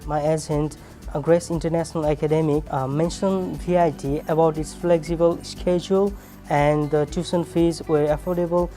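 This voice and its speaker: A man's voice: a little high, flat delivery, normal speed, mellow. A young man with a Nepali accent, a recent graduate giving a promotional testimonial. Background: background music.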